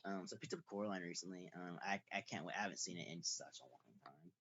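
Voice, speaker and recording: A man's voice: deep voice, quick pace, fairly bright tone, slightly rough, no echo. An eighteen-year-old man co-hosting a podcast, talking eagerly with many stumbling restarts. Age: late teens. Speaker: podcast co-host. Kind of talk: casual chat. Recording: dull lo-fi podcast audio, dry.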